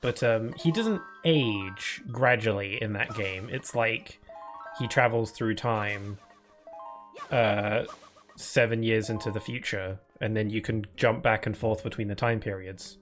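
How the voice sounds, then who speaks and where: A man's voice: fairly low pitch, unhurried, a bit bright, soft background music. A man streaming a game live, chatting loosely to viewers with lots of fillers and restarts, his voice what he calls a permanent cold voice. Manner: answers viewer chat aloud. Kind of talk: casual live-stream chat while playing.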